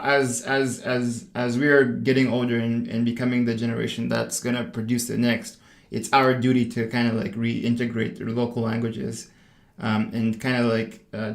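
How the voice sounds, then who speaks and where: A man's voice: mid-range voice, creaky. A young man from Zambia, a podcast guest talking casually and hesitantly, with many fillers and restarts. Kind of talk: casual conversation. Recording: echoey room.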